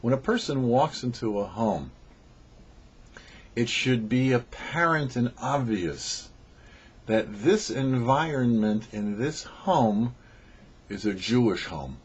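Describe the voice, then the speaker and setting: A man who is a rabbi, giving a short weekly Torah teaching with measured, slow emphasis on key phrases. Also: medium pitch, animated, husky, highs cut off. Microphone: studio microphone.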